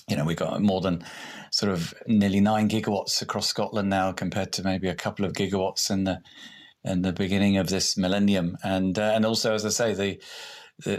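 A man, answering interview questions in a hesitant way, full of restarts and fillers. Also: on the low side. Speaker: renewable energy executive.

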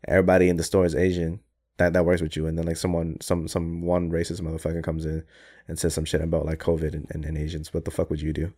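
Young man, low voice, animated, swears a lot, jokey podcast banter. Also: fast. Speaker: podcast co-host. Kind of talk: casual chat.

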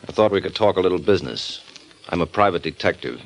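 A tough, sardonic private detective from an old radio drama. He talks in a slightly low voice, trading wisecracks and needling suspects with dry confidence. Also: animated, fast. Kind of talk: 1940s radio drama acting.

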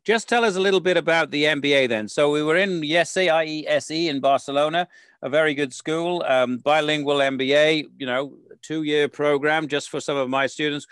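A man's voice: fairly high pitch, steady tone, normal speed, a bit bright, clear, resonant tone, no room sound. A man hosting a casual interview, chatty and leading his guest with questions. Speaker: interview host.

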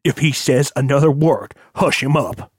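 A man's voice: medium pitch, normal speed, husky, dry recording, studio quality, close to an everyday voice. A man voicing a stern, bitter, ailing old rich father in an audiobook, scolding and folksy as he gives orders. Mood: barking, menacing. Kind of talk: audiobook character acting.

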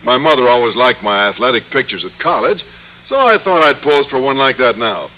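Naive bachelor teacher, old-time radio comedy: earnest, hesitant, animated intonation, dull lo-fi sound. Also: male voice, mid-range voice, fairly quick. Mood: earnest, friendly. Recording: dull, noisy vintage radio recording.

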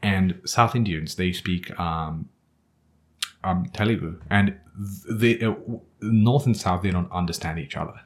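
A man from Nepal, a native Nepali speaker, talking casually on a podcast with many hesitant pauses. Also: low-pitched.

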